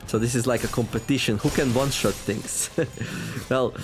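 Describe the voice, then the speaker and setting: A man commentating a tactics game as he plays it, reading the story text aloud. He rambles, corrects himself often and adds wry asides, and his voice has gone a little raspy after a long day of recording. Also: medium pitch, steady tone, moderate pace, music in the background. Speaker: gameplay commentator.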